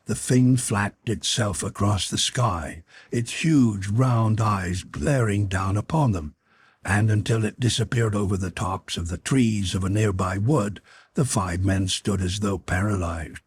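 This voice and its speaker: A male narrator reads literary fiction for an audiobook. His voice is fairly low and very husky, recorded dry with hardly any room sound. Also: darkish tone, no echo.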